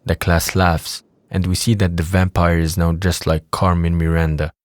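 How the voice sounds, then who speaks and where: Male narrator, low pitch, scripted delivery, audio drama storytelling. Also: flat delivery, normal speed, dry recording, clean studio sound.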